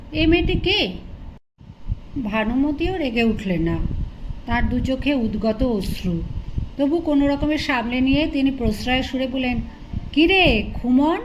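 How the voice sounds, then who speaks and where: A woman with a high voice narrates literary fiction as an audiobook. Her reading is expressive. The recording is dull and lo-fi, with clear noise behind her. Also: moderate pace.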